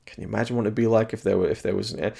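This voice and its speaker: Gravelly, slightly hoarse man musing. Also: lowish voice, steady tone, racing, warm tone. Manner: rambling, thoughtful, many restarts and fillers. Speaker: video game reviewer. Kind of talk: casual solo commentary.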